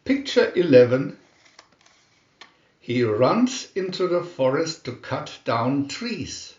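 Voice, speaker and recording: A man narrating a scripted children's storybook in a deliberate, expressive storytelling manner. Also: on the high side, roomy sound, lo-fi sound.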